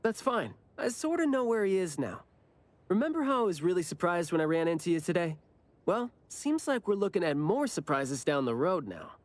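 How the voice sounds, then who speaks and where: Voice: mid-range voice, very animated, normal speed, dry recording, lightly acted. A performer playing a breezy, teasing young slacker in an anime video game, with a playful, sing-song manner.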